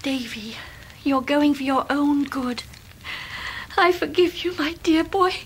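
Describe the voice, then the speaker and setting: A gentle, frail Victorian mother in a radio drama. Her English voice is extremely high and unhurried, fluttering and plaintive. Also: slight background noise, rough recording. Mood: tearful tenderness. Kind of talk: 1990s radio drama acting.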